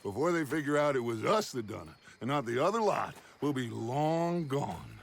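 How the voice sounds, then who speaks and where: An actor playing a grandiose, scheming American outlaw gang leader who speaks in a deliberate, persuasive, declamatory way in a video game cutscene. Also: male voice, medium pitch, big pitch swings, creaky, a little hiss or hum. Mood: calculating.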